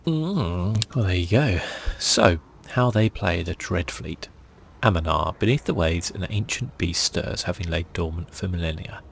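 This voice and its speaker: A man hosting a gaming channel, speaking in a soft, hushed, relaxed ASMR style as he comments and reads aloud close to the mic. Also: a little slow, slight background noise. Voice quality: hushed, breathy, creaky. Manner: relaxed, low-key.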